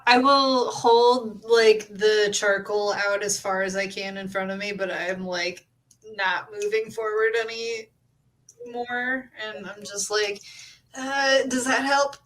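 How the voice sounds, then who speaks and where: A woman's voice: mid-range voice, steady tone, takes it slowly, a bit bright, rough recording. A woman playing a tabletop role-playing game, chatting casually as she describes what her character does.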